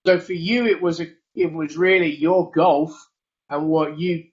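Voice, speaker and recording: A man hosts an online interview, thinking aloud and hedging with "you know" and "if you like". His voice is a touch higher than most men's. The audio is muffled and lo-fi, as on a video call. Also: steady tone, unhurried. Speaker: webinar host. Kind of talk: conversational interviewing.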